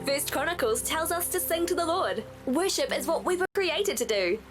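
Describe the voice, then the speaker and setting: High, upbeat radio promo announcer. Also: female voice, animated, normal speed, over music.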